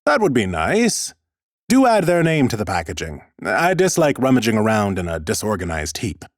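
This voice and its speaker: A man's voice: on the low side, wildly swooping pitch, normal speed, dry recording, studio quality. A man voicing an ancient, mighty lich in a fantasy audiobook, bookish and courteous, with a formal, pompous manner. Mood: brisk, self-assured. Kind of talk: audiobook character acting.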